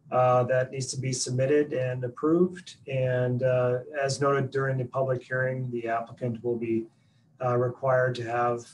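Canadian guy from city staff, flat voice, sits back from the mic, faint hum. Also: mid-range voice, distant mic, poor audio. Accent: Canadian English. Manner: formal, technical, many fillers. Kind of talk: answering council questions.

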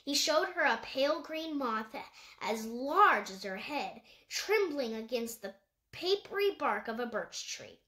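A woman's voice: high-pitched, sing-song, a little slow, bright, forceful tone, roomy sound. A woman reading a children's animal novel aloud as scripted audiobook narration. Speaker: audiobook reader.

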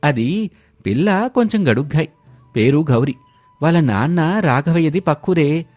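A man voicing a wily, persuasive village matchmaker in a coaxing, drawn-out way for an animated moral story. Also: fairly high pitch, soft background music, pitched above the performer's own voice.

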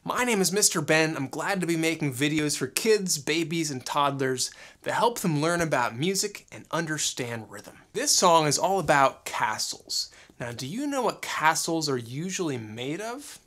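A man's voice: on the high side, animated, moderate pace, a bit bright. A man in his thirties hosting as a children's music teacher, talking upbeat and encouragingly in simple phrases and questions aimed at little kids. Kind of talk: upbeat talk to young children.

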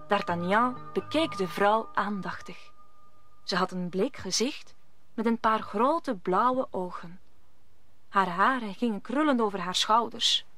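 A woman's voice: mid-range voice, a bit bright. A woman narrating a scripted radio play as a storyteller.

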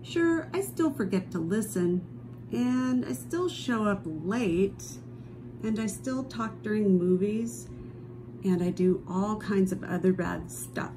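A woman reading a children's picture book aloud expressively, with dramatic drawn-out emphasis on key phrases. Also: mid-range voice, background noise. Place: indoors at a table, book held before camera.

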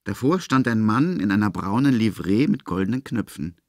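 A man's voice: on the low side, expressive, normal speed, no echo, clean studio sound. A man reading scripted literary narration as the narrator of a radio play.

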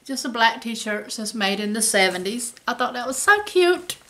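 A woman's voice: a little high, sing-song, moderate pace, sharp tone. A woman, chatting casually about her shopping haul to a camera at home. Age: 50s. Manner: chatty, folksy, says y'all. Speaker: haul vlogger. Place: home kitchen indoors.

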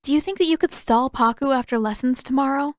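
A stubborn teenage girl, guarded and set on her course, read by a woman narrator. Her voice is fairly high, in a dry audiobook recording. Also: fast talker, no echo, close to an everyday voice. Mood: determined. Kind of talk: character dialogue in audiobook narration.

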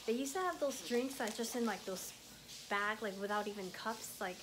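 A young woman in her twenties, raised in the US, rambling casually to her phone camera in a hotel room, filling every pause with "like". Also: fairly high pitch, noisy background. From Thai, raised in the US. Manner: rambling, heavy use of "like", soft and chatty. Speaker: travel vlogger. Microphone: phone camera at arm's length.

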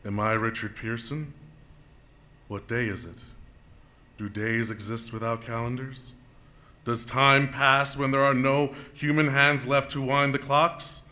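A man's voice: medium pitch, fairly even intonation, sharp tone. A man playing a weary, haunted professor and lone survivor, narrating reflectively in a 1930s radio drama monologue. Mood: bereft questioning. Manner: reflective, measured, sorrowful narration.

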